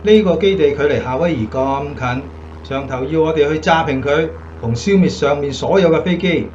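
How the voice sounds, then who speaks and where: A man's voice: fairly high pitch, normal speed, a little mellow, muffled recording, slight background noise, close to an everyday voice. A man acting a matter-of-fact bomber crewman in a radio drama.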